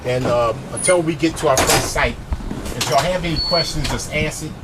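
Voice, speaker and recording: A man, guiding a group on a bus tour in a folksy, emphatic way, tossing in "okay?" and "y'all", with a soft voice. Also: on the high side, normal speed. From East Baltimore, USA. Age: 50s. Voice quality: soft voice, by his own account. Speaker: community activist and tour guide. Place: inside a moving school bus, windows down. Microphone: small handheld camera, with a little mic. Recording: loud traffic and bus noise.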